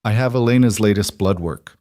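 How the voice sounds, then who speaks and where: A man voicing a calm, professional female toxicologist who speaks in a clinical, careful way in an audio story. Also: lowish voice, a little fast, no room sound, clean studio sound, close to an everyday voice.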